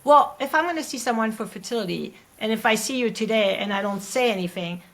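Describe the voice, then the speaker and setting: Woman, late thirties, French accent, gravelly, earnest, emphatic, guest in a conversation. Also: on the high side, expressive, a little fast, fairly bright tone. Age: late 30s. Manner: earnest, emphatic, often ends points with "right?". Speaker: acupuncturist and educator, podcast guest. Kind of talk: casual interview conversation.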